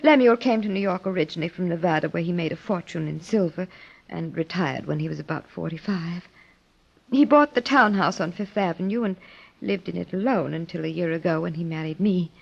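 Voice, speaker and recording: Earnest young wife, muffled old radio. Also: female voice, on the low side, gravelly, husky. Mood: confiding. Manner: earnest, halting, emotional. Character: grieving young wife of a wealthy older man. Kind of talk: old-time radio drama acting. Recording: dull, lo-fi old radio recording.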